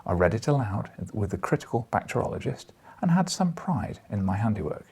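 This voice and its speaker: A man reading a story aloud as an expressive audiobook narrator, with a husky voice. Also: on the low side, fairly quick. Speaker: audiobook reader. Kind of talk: expressive audiobook narration with brief casual asides.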